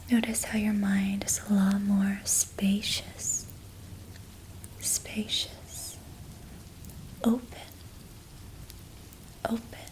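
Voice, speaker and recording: A young woman guides an ASMR relaxation in a soft, breathy whisper. She speaks very slowly and evenly, and her voice sits a little high, in a flat, hushed tone. She repeats phrases softly and steadily. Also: low-quality recording. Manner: soft unvoiced whisper, slow repetitive soothing phrasing. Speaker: ASMR creator.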